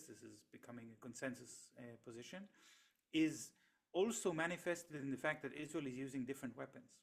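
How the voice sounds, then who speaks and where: A middle-aged man whose first language is Hebrew, a researcher explaining his work in an interview with steady, hesitant pacing and frequent pauses and restarts. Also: medium pitch, vocal fry. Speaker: economist and researcher, interview guest.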